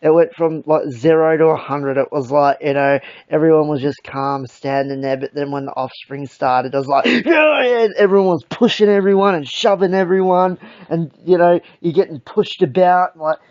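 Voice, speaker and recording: A young Australian man with a croaky voice, hosting a casual podcast chat, rambling and swearing with lots of fillers. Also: a little high, normal speed, few pauses, a little mellow, no echo. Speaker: podcast host. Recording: dull lo-fi sound.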